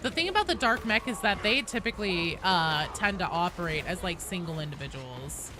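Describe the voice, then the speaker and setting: A woman's voice: fairly low pitch, lively intonation, brisk, noisy background. A woman live streaming a video game, chatting casually and answering viewers in a joking, sweary way. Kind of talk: casual gaming chat, reading and answering viewer comments.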